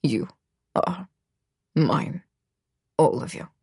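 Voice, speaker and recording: A woman voicing a cold, domineering young man who talks in a low, quiet growl. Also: lively intonation, extremely slow, gravelly, slightly rough, dry recording, clean studio sound, heavily acted. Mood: menacing.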